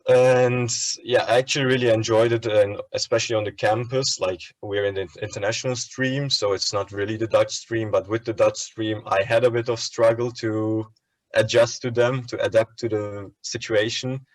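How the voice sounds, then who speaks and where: A man's voice: fairly low pitch, fairly even intonation, moderate pace, no echo. Easygoing young man. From Turkey. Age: 20s. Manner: easygoing, searches for words with ums and likes. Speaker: student interviewee. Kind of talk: casual interview answers.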